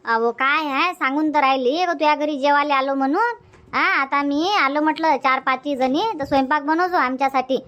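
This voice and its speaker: Casting: woman to play cartoon village auntie, high-pitched, bossy and talkative, Varhadi Marathi accent. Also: normal speed, fairly bright tone, lo-fi sound. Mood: explaining. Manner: chatty, insistent, repeats herself. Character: bossy, sociable village auntie organizing her friends. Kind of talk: cartoon comedy dubbing.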